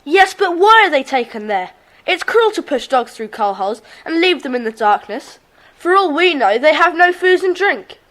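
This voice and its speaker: An eager, easily indignant child in a children's audio drama. The voice is very high and bounces around emphatically. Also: female voice, big pitch swings, moderate pace, a bit bright.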